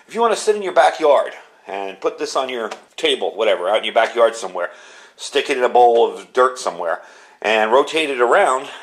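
A middle-aged man with a medium-pitched voice hosts an informal demonstration at his workbench. He explains things in a chatty, casual way, talking viewers through the gear step by step. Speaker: video host demonstrating gear. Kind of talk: casual hands-on product walkthrough. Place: indoor workbench.

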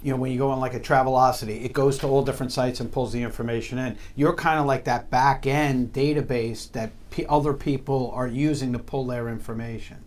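A man's voice: medium pitch, steady tone, a little fast, few pauses, darkish tone. A man hosting a business talk show, asking rambling questions and restating what his guest said in a small studio. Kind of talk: casual interview chat.

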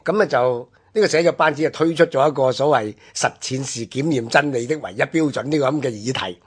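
Husky man with Hong Kong Cantonese accent. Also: mid-range voice, brisk. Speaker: radio programme commentator. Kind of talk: conversational history discussion on radio.

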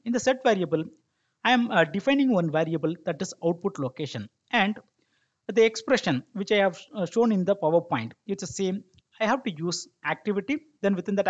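A man narrating a software tutorial step by step as an instructor, explaining and checking that listeners follow, in a lo-fi recording. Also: high voice. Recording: dull lo-fi microphone sound.

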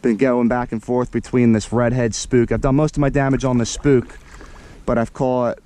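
An American man narrating his fishing trip from a kayak on a lake in casual, excitable commentary, talking straight to his viewers. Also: mid-range voice, moderate pace. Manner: excitable, slangy, talks to viewers as "guys". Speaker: fishing vlogger. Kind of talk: casual running commentary to camera. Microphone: action camera mounted on the kayak.

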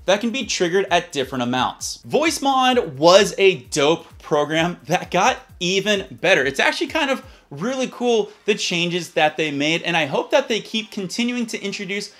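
A man in his thirties presenting a software tutorial in a casual, upbeat way. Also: high-pitched, very animated, moderate pace, hardly any pauses. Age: 30s. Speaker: YouTube tutorial host. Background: background music.

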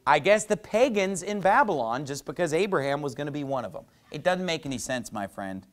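Animated preaching man. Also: on the high side, a little fast. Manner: animated, gesturing, conversational preaching with asides. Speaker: pastor. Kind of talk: sermon. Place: small church stage with painted backdrop, indoors. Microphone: lapel mic.

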